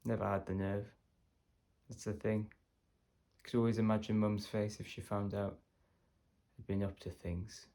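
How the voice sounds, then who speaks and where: A man playing a guarded, wistful working-class man in a quiet storytelling stage monologue. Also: on the low side, level tone, a little slow, long pauses.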